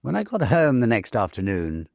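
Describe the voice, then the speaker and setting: A British man reading a story aloud in an expressive, theatrical, measured literary narration style. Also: mid-range voice, vocal fry, no room sound, studio quality. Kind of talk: expressive dramatic audiobook narration.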